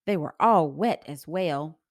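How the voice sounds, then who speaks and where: A woman reading a children's story aloud in an expressive, sing-song rhyming delivery. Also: low-pitched, measured pace, no room sound, clean studio sound.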